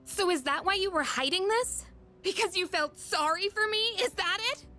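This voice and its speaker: A woman's voice: extremely high pitch, moderate pace, bright, forceful tone. An American woman voicing an emotional, bitter, grieving teenage schoolgirl in a video game. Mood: angry, wounded. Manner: emotional, vulnerable, confiding. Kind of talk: English video game voice acting.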